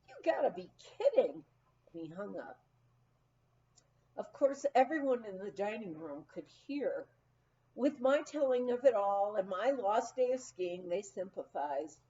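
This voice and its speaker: A woman reading a humorous memoir aloud as an expressive, animated storyteller, acting out the dialogue. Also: medium pitch, unhurried, highs cut off. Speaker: audiobook reader.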